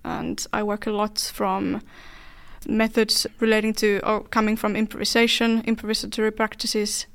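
Female interview guest from Finland, flat intonation, very clean tone, thoughtful delivery. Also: mid-range voice, moderate pace, clear, resonant tone. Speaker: dance artist, podcast guest. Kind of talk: reflective interview talk.

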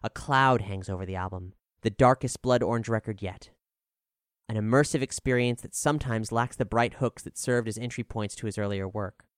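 A man narrating a scripted music review in a measured, articulate, formal way. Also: fairly low pitch, smooth, no room sound, studio quality. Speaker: narrator reading an album review.

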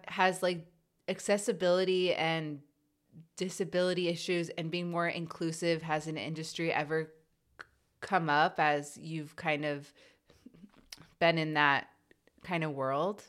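A woman who stutters, interviewing a guest in an earnest, reflective way, with repeated sounds and pauses. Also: lowish voice, fairly bright tone. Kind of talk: conversational interview.

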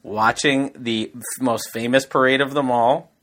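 A man's voice: medium pitch, steady tone, unhurried, fairly bright tone. Wry, defensive man co-hosting. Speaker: podcast co-host. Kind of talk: casual comedic podcast banter.

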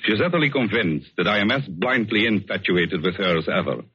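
An actor playing a vengeful, obsessed painter feigning tenderness, in measured, hesitant 1940s radio suspense style. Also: male voice, fairly low pitch, lively intonation, pushed and bright, breathy edge, exaggerated voice. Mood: cold hatred. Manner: measured, theatrical, hesitant pauses. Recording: dull old radio recording, music behind.

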